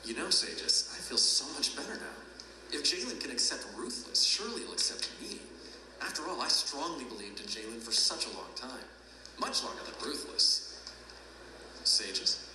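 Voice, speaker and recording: A man's voice: medium pitch, expressive, normal speed, background noise, lightly acted. An actor playing a naive, vain man facing judgment in an audio drama, with a theatrical, exclamatory delivery. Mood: hopeful, nervous. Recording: echoey hall, distant, loud music behind.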